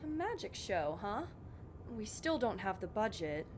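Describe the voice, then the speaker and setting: An actress playing a formal, officious school superintendent chairing a meeting in an audio drama. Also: female voice, big pitch swings, a little slow. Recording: faint noise with low rumble.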